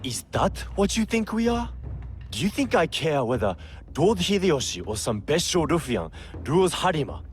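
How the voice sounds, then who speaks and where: An actor playing a sly, mocking smuggler boss in a video game, with a sarcastic, theatrically polite way of talking. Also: male voice, on the high side, sing-song, normal speed, a little hiss or hum. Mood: scornful, mocking. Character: sly, mocking male smuggler boss, self-serving and sardonic. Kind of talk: video game cutscene acting.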